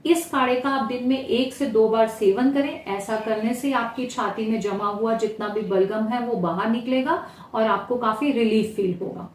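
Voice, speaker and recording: A woman with an Indian accent presents an explanatory home-remedy lesson as a health presenter, instructional and pausing to gloss terms. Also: on the high side. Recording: roomy echo, distant mic, faint noise.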